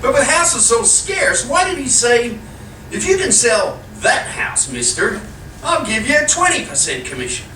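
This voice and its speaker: An actor playing an excitable, suspicious, down-on-his-luck real estate agent and husband in a live radio comedy, with theatrical delivery. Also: medium pitch, unhurried. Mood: doubtful. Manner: theatrical, exclamatory. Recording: echoey hall, distant mic, faint noise.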